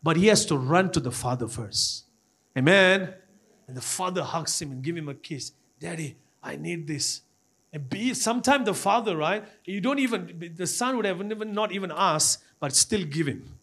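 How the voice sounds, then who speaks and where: A man's voice: high-pitched, expressive, normal speed, a bit bright, slightly rough. A man from Malaysia with a Malaysian accent preaching an impassioned church sermon, repeating phrases as he urges the congregation on. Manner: repetitive, exhorting, often addressing the congregation.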